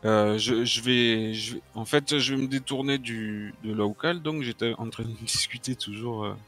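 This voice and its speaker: A man playing a tabletop role-playing game, speaking haltingly and often repeating his words. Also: mid-range voice, a bit bright, faint music behind. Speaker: player. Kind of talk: casual role-play talk.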